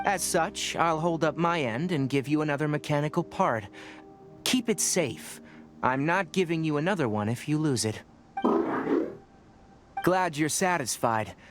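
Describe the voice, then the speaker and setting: An actor playing a young male forest ranger and scholar in a video game, measured and lecturing. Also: fairly high pitch, over music, lightly acted. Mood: matter-of-fact. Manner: measured, lecturing, matter-of-fact.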